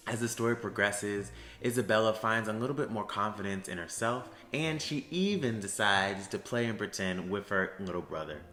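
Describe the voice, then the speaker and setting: A young man with a lowish voice talks to students in an upbeat, friendly teacher tone. His voice echoes around a roomy space, and faint music plays softly behind him. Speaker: theater education associate. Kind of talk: explanatory talk to students. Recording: roomy echo with soft music.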